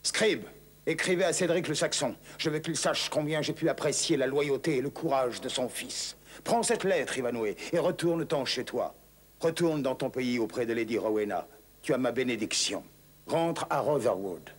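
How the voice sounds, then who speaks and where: Noble cartoon king: husky voice, wide-swinging delivery, regal, commanding and righteous. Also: mid-range voice, expressive, moderate pace. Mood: indignant, commanding. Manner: declamatory, regal. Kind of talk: dubbed cartoon acting.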